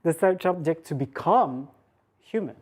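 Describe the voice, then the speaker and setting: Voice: mid-range voice, expressive, unhurried, a little mellow. A woman lecturer giving an academic public talk, mixing scholarly phrasing with informal asides.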